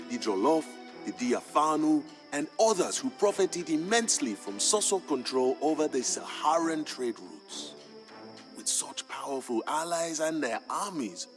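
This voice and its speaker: An actor playing a proud West African griot, a solemn royal bard narrating a game's story in a deliberate, grave, theatrical manner. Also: male voice, mid-range voice. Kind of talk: dramatic scripted storytelling narration for a game. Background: music.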